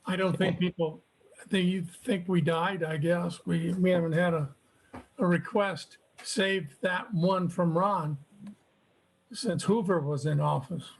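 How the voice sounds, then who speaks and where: A man's voice: high-pitched, fairly even intonation, slow pace, vocal fry, low-quality recording. A man giving an informal, wry report at an online club meeting. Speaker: club print librarian. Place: remote video meeting.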